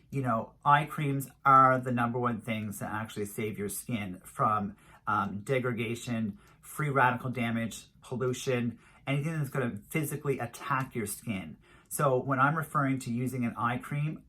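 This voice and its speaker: Male beauty vlogger, middle-aged, medium-pitched, emphatic and repetitive in an informal advisory style. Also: bright, forceful tone. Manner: emphatic, repetitive, drawn-out stress on key words. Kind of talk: informal product review to camera.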